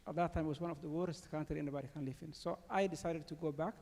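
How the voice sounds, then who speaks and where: A man from Somalia speaking on a conference panel, halting with many pauses and fillers. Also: fairly high pitch, fairly even intonation, gravelly, darkish tone. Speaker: think tank panelist. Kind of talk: personal panel statement. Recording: long reverb of a large hall.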